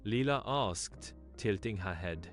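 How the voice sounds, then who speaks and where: A woman's voice: a bass voice, expressive, slow pace, soft background music. A woman narrating a scripted bedtime story for children.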